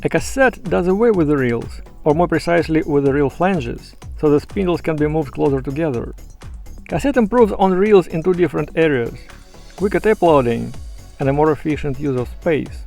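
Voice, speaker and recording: A man presenting a scripted video essay in a measured, explanatory and opinionated way. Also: fairly high pitch, lively intonation, vocal fry, a little mellow, faint music behind. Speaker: YouTube presenter.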